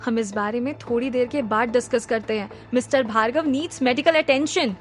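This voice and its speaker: A man's voice: very high voice, fairly bright tone, faint music behind. A woman voicing a nervous hospital doctor who speaks formally and defensively. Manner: polite, formal, hurried.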